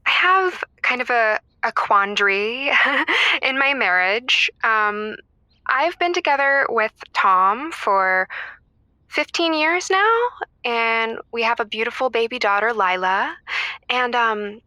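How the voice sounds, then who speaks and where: A woman playing a status-conscious, snobbish wife and mother who confides in a comedy call-in show in a halting, emphatic way. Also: on the high side, lively intonation, sharp tone, dry recording, heavily acted. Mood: gushing, hesitant. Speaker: caller.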